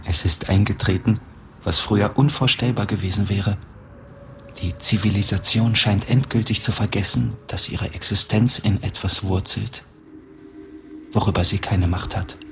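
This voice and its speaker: A man playing a solemn oracle in an avant-garde radio play, reciting deliberately in an incantatory manner. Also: lowish voice, fairly even intonation, noisy background, lightly acted. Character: solemn oracle of a post-everything era.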